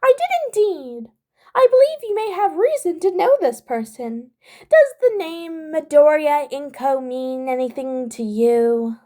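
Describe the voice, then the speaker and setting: Very squeaky, chipper creature principal. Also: female voice, lively intonation, a little slow, warm tone. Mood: pleased, polite. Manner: formal, cheerful, precise phrasing. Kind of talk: fan fiction audiobook character voice.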